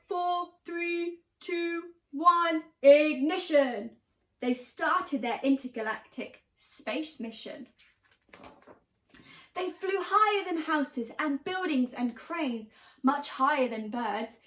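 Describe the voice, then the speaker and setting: A woman with a high voice reads a children's storybook in a roomy, echoing space. She tells it expressively, leaning on key words for drama. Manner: animated, dramatic emphasis with drawn-out key words. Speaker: storyteller reading a children's picture book. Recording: roomy, echoing room sound.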